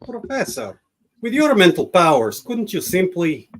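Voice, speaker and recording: A man playing an earnest, self-doubting gentle giant of a superhero in a radio play, with a hesitant manner. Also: high voice, huge pitch swings, unhurried, slight background noise, full character voice. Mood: questioning. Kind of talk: radio-play script reading.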